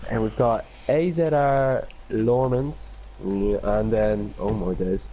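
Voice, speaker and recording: A man's voice: on the low side, slow pace, dark tone. An Irish man co-hosting a podcast with casual banter, heard through a dull, slightly noisy microphone. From Ireland. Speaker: podcast co-host. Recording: muffled dull mic with background noise.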